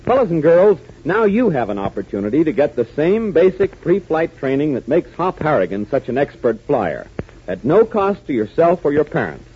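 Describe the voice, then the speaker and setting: Animated 1940s American radio announcer. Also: male voice, a little high, normal speed, breathy edge. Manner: dramatic, emphatic delivery. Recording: muffled, hissy 1940s radio recording.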